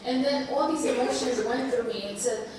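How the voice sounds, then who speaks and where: Woman, native Spanish speaker, slight cold, earnest and halting, higher voice, distant mic in a big echoey room. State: under the weather, slight cold. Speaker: panel speaker. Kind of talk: personal talk to an audience. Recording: echoey hall, far from mic, noisy.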